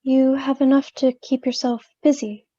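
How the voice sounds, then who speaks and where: A woman's voice: high-pitched, level tone, normal speed, mellow. A woman playing a falsely sympathetic mourner in a radio play, offering pointed condolences, on a dull stage recording. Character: insinuating, falsely sympathetic female mourner named Helen.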